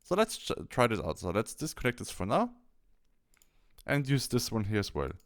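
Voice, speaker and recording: A man presenting a software tutorial, explaining step by step in a halting instructional walkthrough. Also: mid-range voice, wildly swooping pitch. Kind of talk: instructional screen-capture walkthrough.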